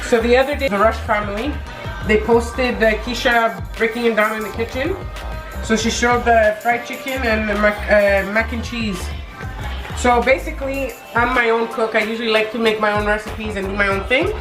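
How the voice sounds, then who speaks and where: A congested woman in her thirties, a little higher pitched than most, chats her way through a recipe at the stove. Her stuffy voice bounces around an echoey kitchen while music plays underneath. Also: normal speed. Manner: relaxed, chatty step-by-step explaining. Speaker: home cook filming a cooking vlog. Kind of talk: casual cooking narration. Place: home kitchen.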